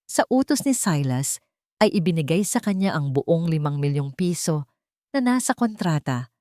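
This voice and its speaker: A woman with a Filipino accent narrating a scripted romance story in measured, dramatic phrases. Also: low-pitched, darkish tone, dry recording, clean studio sound.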